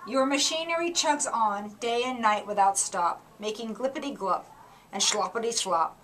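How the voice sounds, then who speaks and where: A woman voicing a fussy small male forest creature who scolds loudly in a high, indignant voice. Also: normal speed, recorded from a distance, slight background noise, close to an everyday voice.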